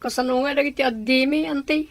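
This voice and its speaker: A woman's voice: high-pitched, fairly even intonation, quick pace. A woman acting a worried, respectful younger sibling in a radio drama, with an Ilocano accent. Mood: anxious, questioning.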